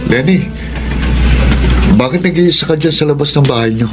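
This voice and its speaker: A man's voice: fairly high pitch, moderate pace, loud music behind the voice, lightly acted. An actor playing a lovelorn young man, plaintive and hesitant, in a Filipino radio drama. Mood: probing, curious. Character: lovelorn young male friend, wounded, jealous, devoted.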